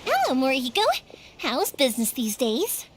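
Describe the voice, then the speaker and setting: A woman's voice: high-pitched, very animated, normal speed, background noise. A woman voicing a cheerful, chatty young fireworks maker in a video game, eager to reassure and given to rambling. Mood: upbeat. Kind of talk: English video game voice acting.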